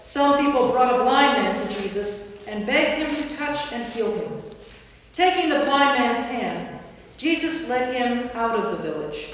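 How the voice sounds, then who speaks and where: A woman's voice: fairly high pitch, lively intonation, a little slow. A woman giving scripted narration for a staged scripture reading in a church. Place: inside a large church. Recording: distant, very echoey church hall.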